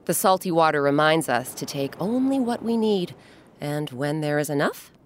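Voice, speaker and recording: A woman narrating a scripted children's story as host, with dramatic, expressive, deliberate phrasing. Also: deep voice, slight background noise. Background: music.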